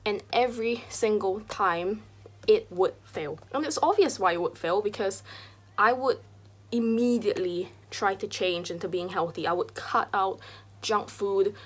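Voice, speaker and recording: A young woman in her twenties from Singapore, talking candidly and confessionally in a personal vlog, full of "like" and "you know," sometimes dropping to a soft whisper. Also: medium pitch, measured pace. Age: 20s. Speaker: vlogger and streamer.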